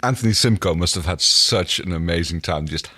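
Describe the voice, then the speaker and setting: A man's voice: deep voice, brisk, bright, forceful tone, breathy edge, no echo, clean studio sound. A man co-hosting a podcast, musing casually and somewhat hesitantly as a fan. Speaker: podcast co-host.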